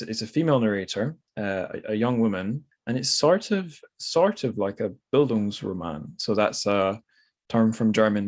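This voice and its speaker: A man hosting a podcast in casual, informal chat, musing and correcting himself as he goes. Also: fairly low pitch. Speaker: podcast host.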